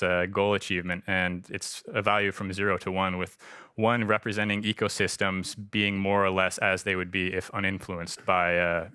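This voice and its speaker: Middle-aged American male conference speaker, halting delivery, bright low voice, close and dry sound. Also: clear, resonant tone, dry recording. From United States. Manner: halting, with frequent hesitations. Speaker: freshwater conservation coordinator presenting. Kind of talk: conference presentation with slides. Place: indoor room at a visitor centre.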